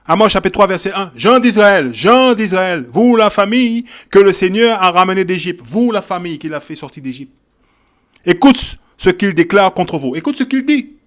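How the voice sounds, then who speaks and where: A man's voice: high-pitched, animated, moderate pace. A man teaching a Bible sermon, reading verses and repeating key phrases with emphasis, often checking in with "ok?" and "hein?". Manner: shifts from quiet verse reading to loud exhortation. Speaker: preacher.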